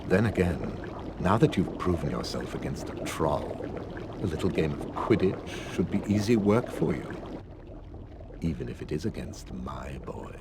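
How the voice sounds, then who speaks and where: An actor playing a stern, sneering wizard schoolteacher in a video game, speaking slowly and sardonically. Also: male voice, very deep voice, animated, heavy background noise. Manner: slow, sardonic, deliberate delivery. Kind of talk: video game cutscene acting.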